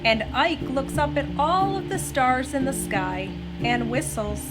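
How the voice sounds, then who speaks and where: A woman reading a children's story aloud in an expressive, gentle storytelling manner. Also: sits high, a little slow, rough recording. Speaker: mother reading aloud on a family story-time show. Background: background music.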